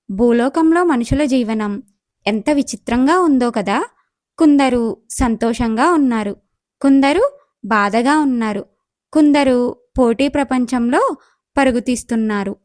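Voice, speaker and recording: A woman's voice: high voice, measured pace, long pauses, a little mellow, no room sound, clean studio sound. A woman voicing an impatient, indignant younger goddess in a moral story, complaining and questioning. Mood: curious, weary. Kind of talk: acted moral story dialogue.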